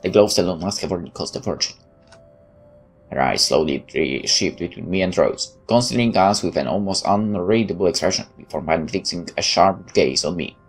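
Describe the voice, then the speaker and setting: A deep-voiced man narrates a visual novel as a let's play host. He slips between reading the text and casual side remarks. Game music plays behind him. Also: animated, fairly quick, vocal fry, slightly rough. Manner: off-the-cuff remarks between read passages.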